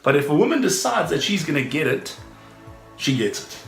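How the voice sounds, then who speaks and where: A man's voice: fairly high pitch, very animated, a little fast, gravelly, fairly bright tone. Blunt, crude-talking man in an echoey room. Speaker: couple vlogger. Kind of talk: casual chat. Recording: echoey room with background music.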